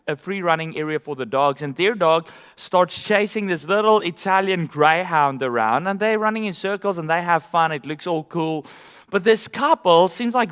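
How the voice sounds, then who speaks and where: Pastor with a high voice. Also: male voice, fairly bright tone, long reverb tail. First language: Afrikaans. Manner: conversational storytelling with asides. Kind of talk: sermon.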